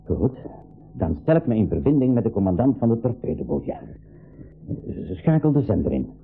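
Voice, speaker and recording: A man's voice: mid-range voice, big pitch swings, a little fast, breathy edge, soft background music. A man playing an older, stubborn professor and uncle in a 1980s school radio play, speaking emphatically with hesitant repetitions. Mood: reluctant. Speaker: radio drama actor. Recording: narrow phone-like old radio recording.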